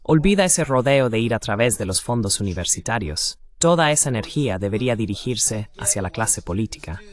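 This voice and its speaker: Insistent male panelist, medium pitch. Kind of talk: political commentary at a panel discussion.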